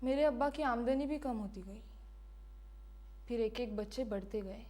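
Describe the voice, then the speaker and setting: A woman playing a defiant, bitter condemned prisoner giving her last testimony in a deliberate, impassioned dramatic monologue. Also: fairly high pitch, dark tone. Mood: composed, grave. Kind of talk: dramatic film-style monologue.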